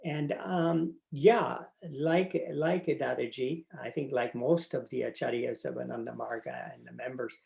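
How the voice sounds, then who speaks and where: An older man speaks thoughtfully over a video call, pausing often with fillers. His voice has a gravelly creak at an ordinary middle pitch. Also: steady tone, a little mellow. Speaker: interview guest, monk. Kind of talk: reflective personal account.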